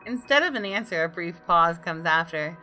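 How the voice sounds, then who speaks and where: A woman's voice: lowish voice, animated, normal speed, bright, forceful tone, faint music behind. A woman gamer reading visual novel narration aloud expressively, with her own asides. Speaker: gaming video host.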